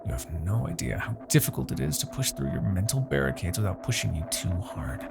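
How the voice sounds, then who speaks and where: A man playing an arrogant, possessive demon king in a husky, theatrical ASMR fantasy roleplay. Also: fairly low pitch, very animated, over loud music, heavily acted. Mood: strained, determined. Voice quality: husky, gravelly. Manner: theatrical, deliberate, swinging from murmurs to outbursts. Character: arrogant, possessive male demon king, obsessed with captive hero. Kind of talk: ASMR roleplay, dramatic monologue.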